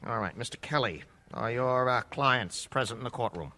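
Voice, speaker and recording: A man's voice: mid-range voice, normal speed, pushed and bright, natural voice. A man playing a stern, skeptical judge in a courtroom radio drama, formal and probing. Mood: brisk, procedural. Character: stern, skeptical male judge presiding over a hearing.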